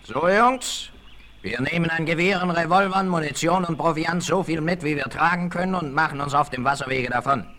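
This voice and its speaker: An actor playing a scheming, bossy leader of a tramp gang, curt and commanding, in a 1960s German western radio play. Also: male voice, fairly high pitch, moderate pace, sharp tone. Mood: urgent, bossy. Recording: 1968 vinyl record, faint noise.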